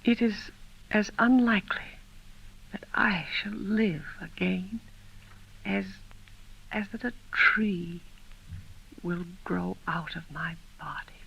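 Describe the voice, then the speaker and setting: A woman's voice: lowish voice, takes it slowly, vocal fry, pauses often, a bit bright, breathy edge, highs cut off, exaggerated voice. An actress in a 1930s radio drama playing a weak, stubborn dying woman, gasping and whispering. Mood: defiant, dying. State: gasping, whispery, failing breath. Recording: old 1930s radio recording.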